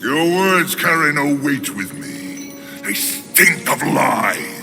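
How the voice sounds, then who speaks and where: A man playing an ancient, imperious lord of the underworld in a video game, grave, scornful and gravelly, making formal, condescending pronouncements. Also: a little high, very animated, slow, heavily acted. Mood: scornful, menacing. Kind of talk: dramatic video game cutscene acting. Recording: loud music under the voice.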